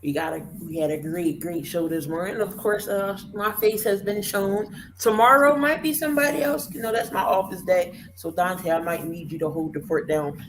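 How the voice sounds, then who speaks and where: Male show host, hoarse voice, rambling folksy sign-off, noisy recording. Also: high voice, normal speed. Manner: loose, rambling, folksy plugs. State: hoarse, voice worn out. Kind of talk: casual sports talk show sign-off.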